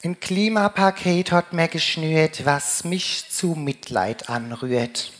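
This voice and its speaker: Slow, low-voiced woman with Rhine-Hessian accent. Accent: Rhine-Hessian German. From Germany, Rheingau region. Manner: declaims in sing-song rhyming couplets. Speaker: carnival speaker. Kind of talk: rhymed humorous carnival speech in dialect.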